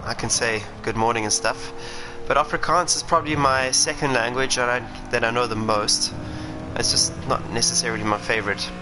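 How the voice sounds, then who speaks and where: A man's voice: medium pitch, fairly even intonation, normal speed, few pauses, music in the background, low-quality recording. A South African man, a racing driver, chatting and thinking aloud while streaming a sim racing game. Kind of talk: live gameplay commentary. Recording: game engine noise underneath. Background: racing game car sounds.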